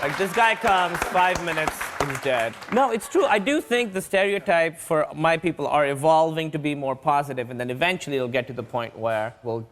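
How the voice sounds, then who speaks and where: A young man chats on a comedy panel and tells animated stories, laughing as he goes. His voice sits a touch high. A faint room ambience hums behind him. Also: normal speed, hardly any pauses, some chatter behind, a little hiss or hum. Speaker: comedian guest. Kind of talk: casual panel chat.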